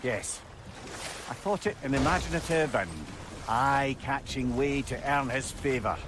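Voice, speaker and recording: A man's voice: mid-range voice, measured pace, natural voice. A man with a Scottish accent playing a learned, talkative older advisor in a video game, wordy and wry with a note of remorse. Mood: remorseful, confessional. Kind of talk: cinematic video game acting. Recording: clear background ambient noise.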